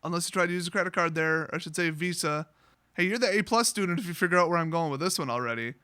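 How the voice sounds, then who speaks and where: A man's voice: on the high side, fast talker, pushed and bright, clean tone, dry recording. A man telling a casual, rambling story as a vlogger, sweary, sarcastic and blunt. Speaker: online vlogger telling a story, card seller.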